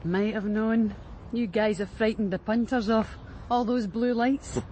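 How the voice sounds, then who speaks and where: A woman acts a brash, matter-of-fact Glaswegian streetwalker in a radio drama. Her voice is on the high side, and she talks frankly and breezily. Faint urban street noise runs underneath. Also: fairly even intonation, measured pace, dark tone, close to an everyday voice. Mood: brash, dismissive. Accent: Glaswegian Scottish. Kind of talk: naturalistic radio drama acting.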